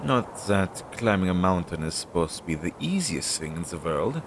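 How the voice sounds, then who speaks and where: A man's voice: very animated, moderate pace. A man playing an anxious, talkative demon crow familiar in an audio roleplay, speaking hesitantly with sighs and chatty asides to his master. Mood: weary, relieved. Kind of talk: scripted audio roleplay addressed to the listener. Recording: noisy low-quality home recording.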